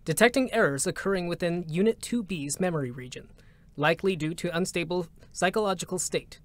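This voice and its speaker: A man's voice: sits high, a little fast, no echo, close to an everyday voice. Man voicing an impassive, procedural robot drone. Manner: clipped, formal, deadpan announcements. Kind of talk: dramatic concert reading.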